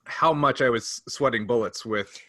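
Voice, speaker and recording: A man's voice: mid-range voice, normal speed, pushed and bright, no room sound. A man talking casually as a podcast host and game master, explaining things in an informal way.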